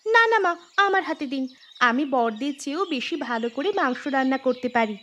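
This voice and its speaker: High-voiced, eager young daughter-in-law played by a woman. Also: expressive, brisk, fairly bright tone, a little hiss or hum. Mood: eager, boastful. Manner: eager, deferential, boastful. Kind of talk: scripted cartoon story acting.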